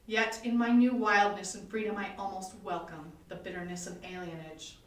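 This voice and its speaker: Heard in an echoing room at some distance, a woman performs a lonely gothic outcast. The delivery is brooding, measured and solemn, at an ordinary middle pitch. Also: fairly even intonation, a little hiss or hum, close to an everyday voice. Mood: bleak, resigned. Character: lonely, melancholy outcast narrator of gothic horror. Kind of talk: dramatic literary reading. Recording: echoey room, distant microphone.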